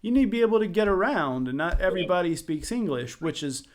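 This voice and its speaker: An American man hosting a casual podcast interview, relaxed and friendly, with a light laugh. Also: sits high, fast talker. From United States. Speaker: podcast host.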